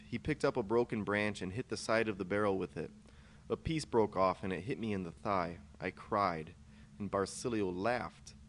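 Low-pitched male author, short story narration, audible background noise. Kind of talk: scripted narration of a first-person short story. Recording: clear background noise, amateur podcast recording.

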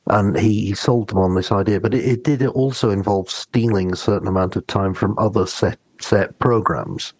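A man's voice: fairly low pitch, normal speed, hardly any pauses, darkish tone, husky, no room sound. A man co-hosting a podcast, talking conversationally and thoughtfully in a hesitant way about a drama. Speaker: podcast co-host. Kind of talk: conversational discussion of a radio drama.

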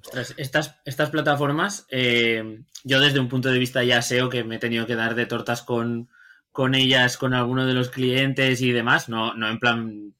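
A man's voice: mid-range voice, little pitch movement, normal speed, sharp tone. A man co-hosting a podcast who works in SEO, talking eagerly and repeating words as he starts a thought. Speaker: podcast co-host, SEO specialist. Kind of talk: casual interview chat.

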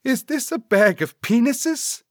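A man voicing a panicky, whiny, dim male sidekick in an audiobook, protesting in a strained falsetto. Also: sing-song, slow, no room sound, studio quality, full character voice. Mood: indignant, incredulous. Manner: agitated, protesting, incredulous.

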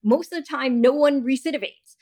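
A woman with a fairly high voice speaks as a guest in a debate-style interview. She argues insistently, laying out explanations point by point. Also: a little fast, dark tone. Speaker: guest, author and journalist.

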